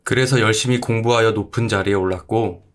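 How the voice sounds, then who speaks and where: A man's voice: on the low side, steady tone, brisk. A man narrating an old folktale as a scripted audiobook. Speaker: storyteller.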